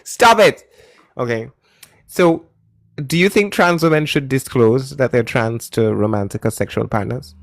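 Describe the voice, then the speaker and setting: A man hosting a live call-in debate stream, pressing callers with insistent questions and joking loudly with his chat. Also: mid-range voice, measured pace, creaky, no room sound, clean studio sound. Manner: lively, shouts and giggles at chat.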